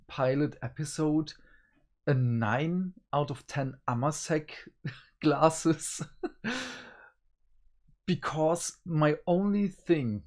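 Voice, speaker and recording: A man's voice: mid-range voice, darkish tone. A man co-hosting a review show, talking casually with his partner.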